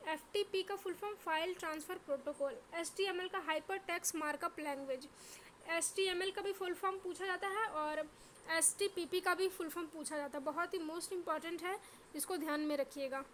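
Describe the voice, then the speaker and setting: A woman's voice: very high-pitched, a little fast, a bit bright, slight background noise. A woman teacher with a sore throat, drilling exam terms in a lesson by asking each question and then answering it.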